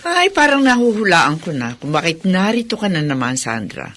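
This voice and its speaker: A woman's voice: lowish voice, big pitch swings, moderate pace, fairly bright tone, a little hiss or hum. An actress playing an exasperated but caring older mother and grandmother in a Filipino radio drama.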